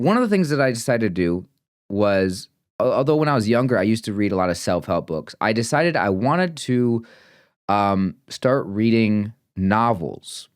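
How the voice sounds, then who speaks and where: A man's voice: lowish voice, no echo, clean studio sound. A man of twenty-nine talking casually and earnestly to camera in a vlog, rambling with fillers and restarts. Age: 20s. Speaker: vlogger. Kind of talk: casual reflective vlog talk.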